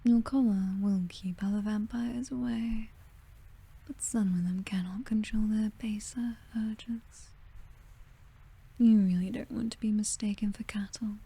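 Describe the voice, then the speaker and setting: A woman with a British accent playing a possessive, seductive vampire mistress in a whispered, breathy ASMR roleplay, doting yet condescending and coolly menacing. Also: medium pitch, fairly even intonation, unhurried, dark tone, no room sound, exaggerated voice. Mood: silky, possessive.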